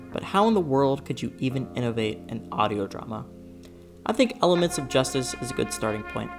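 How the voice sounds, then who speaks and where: A young adult narrating a scripted video essay in an earnest, emphatic way. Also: mid-range voice.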